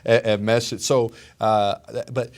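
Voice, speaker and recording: Deep-voiced mayor hosting an interview. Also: male voice, level tone, measured pace, darkish tone. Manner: friendly, restarts questions, frequent mm-hmm. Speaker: mayor hosting a city talk show. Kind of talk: casual interview.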